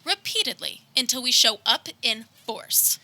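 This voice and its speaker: A woman's voice: on the high side, expressive, unhurried, very noisy. A woman playing a bossy, no-nonsense young dispensary clerk in a comedic radio play. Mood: commanding. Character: exasperated, bossy young female dispensary clerk posing as cop. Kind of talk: comedic radio theater acting. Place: backyard, live performance.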